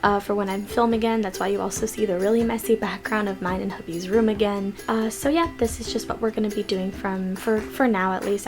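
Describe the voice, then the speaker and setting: A young woman from California hosting a casual news vlog from her bedroom, keeping her voice lowered and stumbling a little as she reads articles aloud. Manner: hushed and lowered to stay quiet, stumbling over words while reading. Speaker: vlog host. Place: messy bedroom at home. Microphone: desk mic seen in corner of frame. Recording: background music playing under voice.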